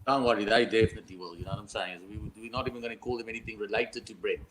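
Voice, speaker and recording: A man's voice: fairly low pitch, steady tone, racing, fairly bright tone, low-quality recording. A South African man chatting casually as a betting guest, bantering and often ending on tag questions. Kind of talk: casual chat.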